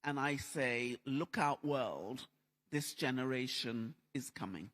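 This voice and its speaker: A man's voice: medium pitch, slow pace, fairly bright tone. A man speaking earnestly and upbeat on a conference panel. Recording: long hall reverb.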